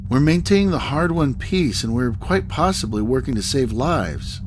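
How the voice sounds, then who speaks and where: A calm starship captain acted by a man in a sci-fi radio play. He speaks at a medium pitch with measured authority, and there is clear ambient noise behind him. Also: lightly acted. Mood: firm, reassuring. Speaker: audio drama actor. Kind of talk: scripted sci-fi audio drama.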